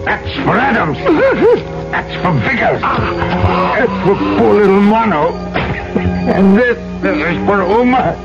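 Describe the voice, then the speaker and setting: A man's voice: high voice, slow, long pauses. A rugged, brave trader tells his own adventure in classic radio drama style. He moves between storytelling and heartfelt dialogue. The sound is dull and vintage, with prominent music. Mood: bitter, anguished. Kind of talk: old-time radio drama acting and first-person narration.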